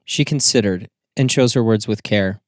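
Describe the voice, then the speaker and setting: A man's voice: lowish voice, moderate pace, no room sound. Dry-sounding male audiobook narrator. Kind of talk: scripted literary narration.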